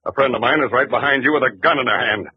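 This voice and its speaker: An actor playing a sneering, menacing bank robber in 1940s radio acting style, with a very raspy voice. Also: male voice, mid-range voice, fast talker. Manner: sneering, threatening. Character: menacing male bank robber, cocky tough. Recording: dull old radio recording.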